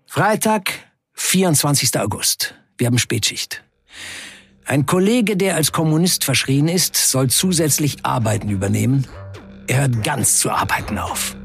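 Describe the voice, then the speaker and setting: A man playing a defiant factory worker in an audio drama, narrating in the first person with emphatic, measured delivery and a husky voice. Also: medium pitch, animated, fairly bright tone, faint music behind. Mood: grim, resolute. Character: defiant male Turkish factory worker recounting a wildcat strike.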